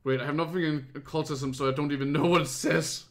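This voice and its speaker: A Danish-accented man streams a horror game in English, with his native Danish showing through. His voice sits a little high for a man, and he talks in a loose, chatty way with lots of fillers. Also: fairly even intonation, fast. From Denmark. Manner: chatty, easily spooked, frequent fillers. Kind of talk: casual let's play commentary.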